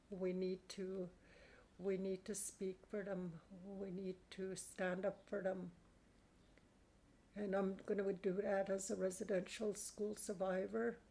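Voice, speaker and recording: Woman survivor giving testimony: low-key, gravelly delivery, even and unhurried. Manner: slow, earnest, halting with pauses. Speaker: residential school survivor. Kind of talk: personal testimony.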